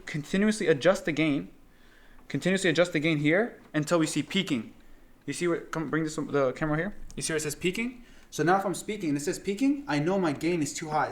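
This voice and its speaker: A man giving a casual hands-on tutorial as an instructor, explaining things step by step in plain words and repeating key points. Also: a little high. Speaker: instructor demonstrating audio gear.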